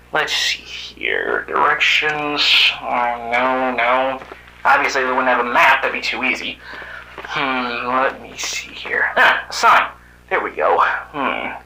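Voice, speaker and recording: A man voicing a sardonic cyborg animal hero from another planet in an amateur animated drama, with muttered asides and exclamations. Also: mid-range voice, full character voice. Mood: wry, muttering. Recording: roomy, echoing voice track.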